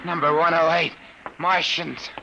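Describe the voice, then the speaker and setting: An actor playing a nervous, hesitant private detective in an old-time radio drama. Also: male voice, a little high, flat delivery, unhurried, creaky. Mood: desperate pleading. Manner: hesitant, stammering. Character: nervous, desperate male private detective, drugged and pleading. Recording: hissy, noisy old radio broadcast.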